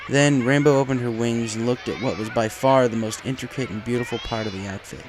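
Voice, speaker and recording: A man's voice: mid-range voice, fairly quick, noisy background. A man reading a fan fiction story aloud as a scripted narration. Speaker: story reader. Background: music.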